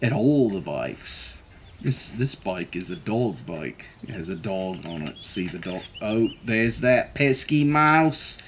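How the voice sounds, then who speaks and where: A man's voice: lowish voice, sharp tone, a little hiss or hum. A man in his thirties reading a children's book aloud in a theatrical, jokey manner, with playful asides. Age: 30s. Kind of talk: expressive storybook read-aloud with playful asides. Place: living room, seated on a couch.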